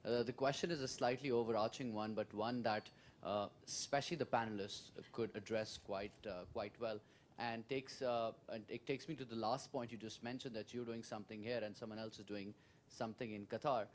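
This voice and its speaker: A man's voice: lowish voice, fairly even intonation, brisk, a little hiss or hum. A man from Pakistan, asking a panel a formal, polite question from the audience. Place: conference hall.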